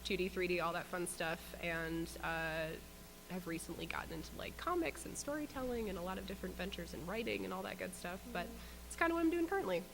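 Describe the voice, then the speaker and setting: A woman's voice: lowish voice, sing-song, fast talker, background noise. A woman from Michigan, a freelance artist getting over a cold, chatting casually as she introduces herself on stage. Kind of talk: casual self-introduction at a panel. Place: meetup event stage.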